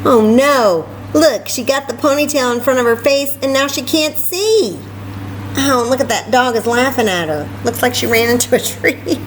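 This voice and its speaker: A woman reading a story aloud to children in an expressive way, with chatty asides and questions. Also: sits high, normal speed, slight background noise, poor audio. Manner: expressive, asks listeners questions. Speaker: library story time reader.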